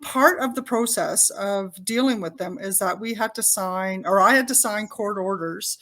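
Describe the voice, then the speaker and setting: An older Canadian woman, raised speaking Italian, chats as an interview guest in a voice of ordinary, middle pitch. She talks freely and loosely, restarting her sentences as she goes. It sounds like a video call. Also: normal speed, bright, forceful tone. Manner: chatty, laughs often, frequent restarts. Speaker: author and podcast guest. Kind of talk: casual interview chat.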